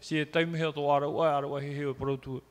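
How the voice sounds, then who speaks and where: A man giving a church sermon in short phrases, pausing for an interpreter after each one. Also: fairly high pitch, level tone, big-room sound. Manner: speaks in short phrases, trading lines with an interpreter. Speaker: preacher. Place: church hall.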